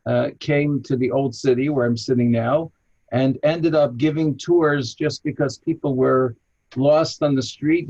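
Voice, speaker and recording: An American man from Chicago, a rabbi and archaeologist, answering interview questions with animated, anecdotal storytelling. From Chicago, USA. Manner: animated anecdotal storyteller, lively jumps in pitch. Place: home in an old city, remote call. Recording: muffled, husky lo-fi line.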